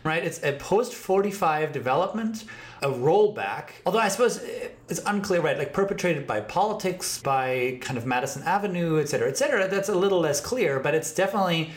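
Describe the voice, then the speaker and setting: A man's voice: a little high, expressive, fairly quick. A man hosting a podcast, talking in an emphatic, discursive academic way and often tagging his points with "right?". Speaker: podcast host.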